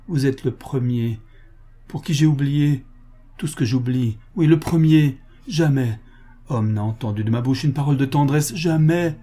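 A man's voice: mid-range voice, dark tone, pitched above the performer's own voice, natural voice. A man voicing a proud, passionate society lady in love, with emphatic, pleading delivery, in a dramatic reading of a novel. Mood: desperate, pleading.

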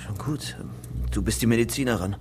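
A man's voice: lowish voice, a little fast, darkish tone, natural voice. An actor playing an anxious, guilt-ridden young man on the run in a crime radio drama. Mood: uneasy. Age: young adult. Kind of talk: German crime radio drama, first-person narration. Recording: music bed behind the voice.

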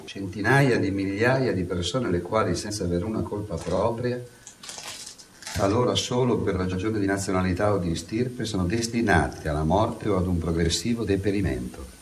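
An actor playing a relentless, sardonic prosecutor in a satirical mock trial, declaiming insistently on stage. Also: male voice, sits low, moderate pace, echoey room, recorded from a distance, slight background noise, natural voice. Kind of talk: theatrical courtroom monologue in radio theatre.

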